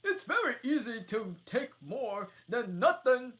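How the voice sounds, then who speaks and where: A woman's voice: on the high side, sing-song, measured pace, a bit bright, pitched above the performer's own voice. A woman voicing a rude, smug man who makes hats, in a storybook reading.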